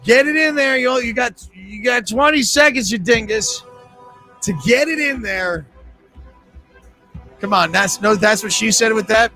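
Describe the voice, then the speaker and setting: A man's voice: sits high, unhurried, long pauses, sharp tone, soft background music. A man hosting a live trivia game, joking with players and rambling through sponsor reads.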